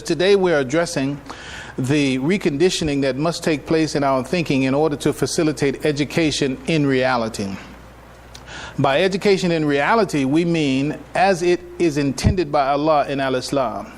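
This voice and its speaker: A man giving a religious lecture as an imam, conversational and halting, repeating phrases and checking in with his listeners. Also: fairly high pitch, a little hiss or hum. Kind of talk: religious lecture, explaining to an audience.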